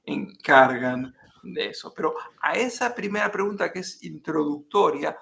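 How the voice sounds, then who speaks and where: A man who works as a life coach, talking in a measured, reflective way and posing questions to the listener. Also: fairly high pitch, animated, gravelly, a bit bright. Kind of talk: reflective motivational talk.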